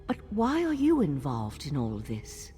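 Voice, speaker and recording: A composed, inquisitive mage of an ancient order, speaking fairly low with lively swings in pitch. She is measured, formal and articulate, as in scripted fantasy game dialogue, with a long reverb around her. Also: soft background music, exaggerated voice. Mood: earnest, explanatory. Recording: long reverb, faint background noise.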